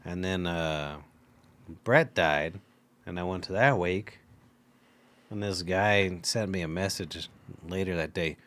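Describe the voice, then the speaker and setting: A man with a lowish voice, spinning casual anecdotes and dropping dry, deadpan remarks. He tells his stories in an offhand way. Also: slow, long pauses. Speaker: co-host. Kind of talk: casual storytelling chat.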